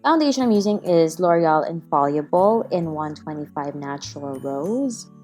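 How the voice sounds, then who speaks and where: Female beauty vlogger, slightly low voice, casual and self-deprecating tutorial narration. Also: mellow. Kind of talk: casual makeup tutorial voice-over. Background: background music.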